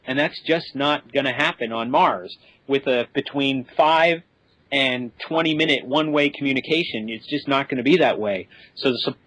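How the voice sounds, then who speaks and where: Mid-pitched man, dry close sound. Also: a bit bright, no room sound, low-quality recording. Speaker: expert podcast guest. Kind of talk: conversational explanation.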